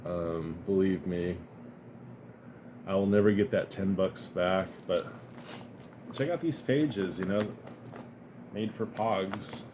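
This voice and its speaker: A man's voice: deep voice, slow pace, pauses often, background noise. A man chatting casually and rambling as he shows off his comic and card haul, laughing now and then and sounding a bit sniffly. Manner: relaxed, rambling, chuckles often. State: sniffly from dust. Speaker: comic collector vlogger. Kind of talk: casual unboxing chat.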